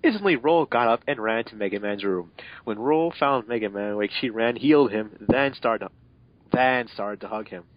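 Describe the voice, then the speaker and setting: A man's voice: medium pitch, normal speed, no echo. A young man giving an amateur dramatic reading of fanfiction, stumbling over the text and adding sarcastic asides. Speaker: fanfiction reader and commentator. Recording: dull, slightly muffled home recording.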